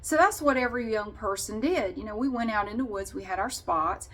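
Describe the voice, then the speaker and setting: A woman's voice: mid-range voice, lively intonation, fairly quick, a bit bright. A woman vlogger casually telling a personal story in a candid, wry and sarcastic way.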